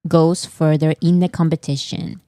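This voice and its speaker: A woman's voice: sits low, flat delivery, normal speed, warm tone, no echo, studio quality. A woman hosting a casual chat, teasing her co-host and laughing as she pushes ahead.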